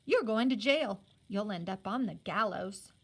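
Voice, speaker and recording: A woman's voice: medium pitch, animated, a little slow, fairly bright tone. A woman voicing an outraged colonial housewife who scolds and accuses in folksy old-fashioned speech, from a storybook. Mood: outraged scolding.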